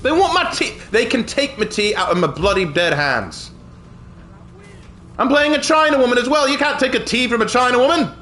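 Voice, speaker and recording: A male streamer puts on a snobbish old-school British gentleman who sneers at everyone and swears with relish, in a bright, high voice that swoops all over. The fake posh accent is broad and hammy, full of mock superiority and playful menace. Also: animated, normal speed, faint music behind, heavily acted. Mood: jolly pompous. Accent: put-on posh British. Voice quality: hammy, swearing, self-mocking. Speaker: game streamer. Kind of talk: live gameplay commentary in character.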